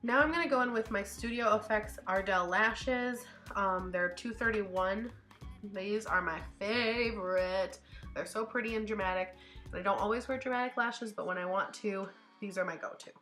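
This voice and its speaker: Chatty beauty vlogger lady, stuffed up from a cold, really bright voice, faint music under it. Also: medium pitch, normal speed. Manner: chatty, upbeat, thinks out loud with fillers. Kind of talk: casual makeup tutorial voice-over. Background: faint background music.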